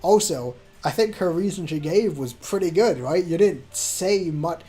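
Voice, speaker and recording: A game streamer with a slightly husky voice and a very lively, swinging pitch, reading a visual novel and breaking off into goofy jokes. The delivery is casual and playful, jumping between reading and banter. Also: unhurried. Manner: goofy, joking asides, voice swings.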